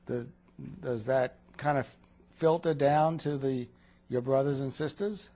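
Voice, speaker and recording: A man's voice: mid-range voice, fairly even intonation, unhurried, warm tone. A man interviewing a client in a counseling session, asking short questions and repeating her answers back to check them.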